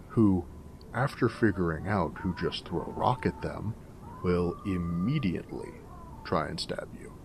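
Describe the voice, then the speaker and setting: A man playing a snarky but friendly ghoul companion in an audio drama, with a gravelly voice and a wry, joking drawl. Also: deep voice, background noise, full character voice. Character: snarky, friendly male ghoul wasteland companion, weathered and irradiated. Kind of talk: character roleplay audio drama.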